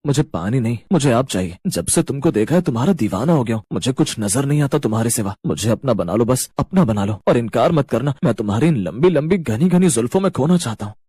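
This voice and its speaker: Man voicing a husky, smitten young husband. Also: mid-range voice, animated, fast talker, hardly any pauses, dark tone, no room sound, studio quality. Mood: amorous pleading. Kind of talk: Hindi cartoon family drama.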